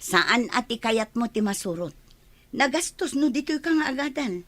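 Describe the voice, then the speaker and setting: A woman's voice: a little high, animated, normal speed, fairly bright tone. An actress playing a stern mother who is leaving her child with a relative, in a Filipino radio drama. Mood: firm, evasive.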